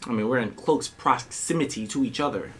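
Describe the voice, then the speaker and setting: A man's voice: mid-range voice, brisk, a bit bright, a little hiss or hum. Playful man co-hosting a podcast. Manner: playful, boastful self-introductions. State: slight tickle in the throat, clearing it. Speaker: podcast co-host. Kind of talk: casual podcast banter.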